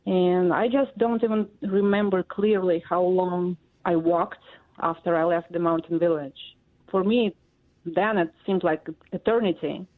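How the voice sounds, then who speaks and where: A woman in her forties from Russia, speaking English with a Russian accent as an interview guest, halting and full of fillers. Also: on the low side, steady tone. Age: 40s. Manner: halting, many fillers and pauses. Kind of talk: interview answers. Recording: tinny phone line.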